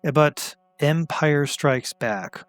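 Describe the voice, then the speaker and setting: A man's voice: fairly high pitch, slow pace, no echo. A man co-hosting a casual movie chat, easygoing as he runs through years and lists of films. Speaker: co-host.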